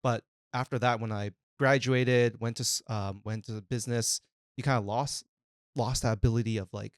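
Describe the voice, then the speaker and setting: A man talking as a guest on a casual podcast, in a halting, reflective way. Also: mid-range voice, fairly even intonation, dry recording, clean studio sound.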